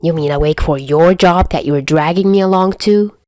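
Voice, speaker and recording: Woman as a grumpy, sardonic young mafioso, lower-pitched. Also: brisk, darkish tone, no room sound, studio quality, lightly acted. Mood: irritated. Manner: growling, sarcastic, swearing.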